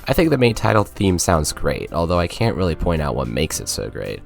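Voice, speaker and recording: Young male video essayist, deep register with expressive swings, informal and chatty scripted narration. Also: brisk, vocal fry. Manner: informal, conversational, slangy. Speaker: video game reviewer. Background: music.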